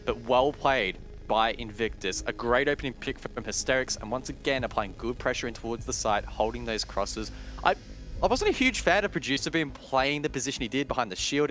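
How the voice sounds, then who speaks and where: Male esports analyst, mid-pitched, explanatory live commentary with music underneath. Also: fairly bright tone. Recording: music behind the voice.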